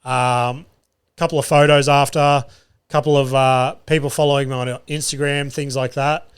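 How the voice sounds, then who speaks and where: A man's voice: mid-range voice, little pitch movement, normal speed, smooth. A young man hosting his solo podcast, telling comedy-gig stories in a loose, sweary way and laughing at his own jokes. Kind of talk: casual solo podcast storytelling. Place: studio.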